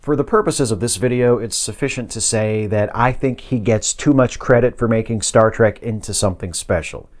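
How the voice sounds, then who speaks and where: A man's voice: fairly low pitch, normal speed, few pauses. Articulate man presenting scripted commentary. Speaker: video commentator. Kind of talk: scripted video essay commentary.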